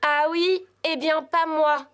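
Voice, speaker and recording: A woman's voice: high voice, fairly even intonation, slow. A woman voicing an earnest, easily indignant girl rider in a French cartoon dub. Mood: worried. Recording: music behind.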